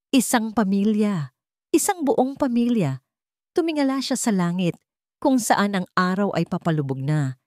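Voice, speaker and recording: A woman reading a sentimental love story as a scripted narration, in a measured, earnest storytelling manner. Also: mid-range voice, no echo, clean studio sound.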